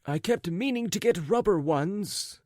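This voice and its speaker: A man voicing a foolish gentleman in a raccoon coat who is flustered, stammers and repeats himself. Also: sits high, lively intonation, measured pace, no room sound, clean studio sound, voice pushed up. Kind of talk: audiobook character voice.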